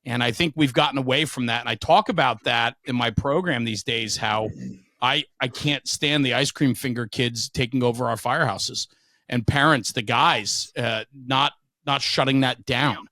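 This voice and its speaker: A man's voice: mid-range voice, normal speed, sharp tone, no room sound. A middle-aged man telling stories casually as an interview guest, frank, emphatic and opinionated. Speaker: podcast guest, veteran firefighter and speaker.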